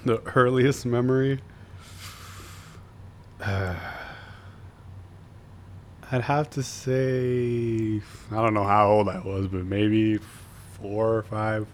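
Young man from Florida, lowish voice, easygoing, hesitant, faint hiss. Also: flat delivery, takes it slowly, long pauses. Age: young adult. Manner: easygoing, hesitant, many uhs. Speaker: guest. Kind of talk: casual interview chat.

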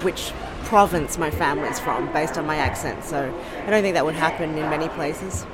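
An Australian woman from Sydney, speaking in a casual, thoughtful interview and pausing often with ums and restarts. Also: sits low, very noisy. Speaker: podcast host being interviewed.

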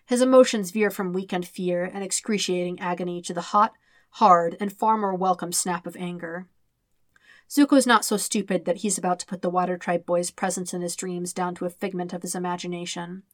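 A woman's voice: on the low side, fairly even intonation, moderate pace, dry recording, studio quality. A woman narrating a story in a dramatic, scripted audiobook style. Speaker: fan fiction narrator.

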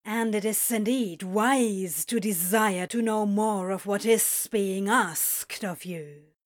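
Woman doing a sly cursed snake guy, slow and theatrical, fairy tale audio drama. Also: mid-range voice, close to an everyday voice. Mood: grand, pleading. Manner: theatrical, grandly formal with dry asides. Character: cursed male serpent, sly, theatrical, privately curt schemer. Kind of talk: podfic audio drama acting.

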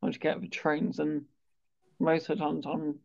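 A man with a stammer who speaks haltingly, repeating words and sounds as he hosts a conversational interview. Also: a little high, little pitch movement, vocal fry, a little mellow. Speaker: interviewer and stammering awareness campaigner. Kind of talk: conversational interview on a video call. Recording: dull, lo-fi video call audio.

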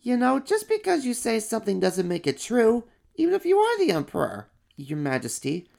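A man voicing a bold, outspoken little boy monster in a storybook reading, piping high and blunt. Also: wildly swooping pitch, normal speed, creaky, darkish tone, exaggerated voice. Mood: earnest.

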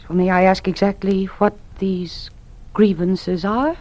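A woman's voice: mid-range voice, steady tone, a little slow, darkish tone, lightly acted. An actress playing a principled woman teacher in a 1950s radio drama. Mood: earnest. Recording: dull lo-fi old radio recording.

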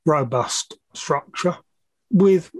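Slow-talking man, higher-pitched voice. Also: lively intonation, dry recording. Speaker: podcast guest, loudspeaker measurement engineer. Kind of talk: explanatory interview talk.

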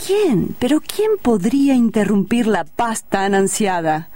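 A woman's voice: mid-range voice, big pitch swings, a little slow, mellow, no echo. A woman with a Rioplatense Argentine accent narrating a scripted radio drama in a theatrical, wry storytelling way. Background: music.

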